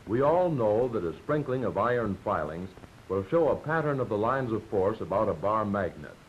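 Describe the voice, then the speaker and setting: An American man narrating a 1940s instructional film from a script, explaining in a measured, didactic way. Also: sing-song. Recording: dull, noisy 1940s film soundtrack with rumble.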